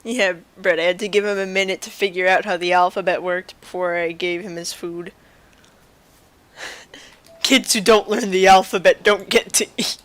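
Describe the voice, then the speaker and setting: A woman's voice: mid-range voice, steady tone, normal speed, a bit bright, dry recording. A woman streaming a video game with casual, playful commentary, chattering to herself and exclaiming as she plays.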